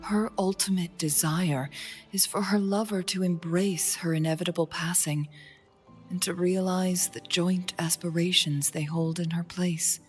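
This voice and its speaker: An actress playing a composed, thoughtful young female strategist in a game, speaking calmly with a soft breathy edge. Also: on the low side, soft background music, natural voice. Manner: calm, measured, softly breathy. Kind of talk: video game cutscene dialogue.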